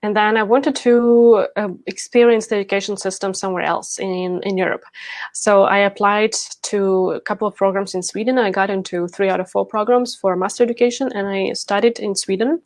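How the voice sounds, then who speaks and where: A woman's voice: mid-range voice, steady tone, a little fast, no echo. Woman telling her story. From Ukraine. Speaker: interview guest, leadership and team coach. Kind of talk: conversational personal storytelling.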